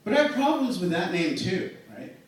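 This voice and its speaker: A young man in his twenties doing a stand-up routine in a comedy club, telling self-mocking stories in a slow, dry way. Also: sits high, sing-song, a little hiss or hum. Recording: echoey club room miked from a distance.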